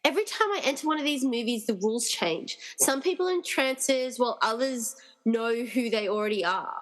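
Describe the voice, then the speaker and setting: A woman's voice: fairly high pitch, expressive, normal speed, lightly acted. A woman playing an earnest, determined young heroine who questions things, in an amateur radio play. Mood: earnest, questioning. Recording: reverberant, hall-like room sound.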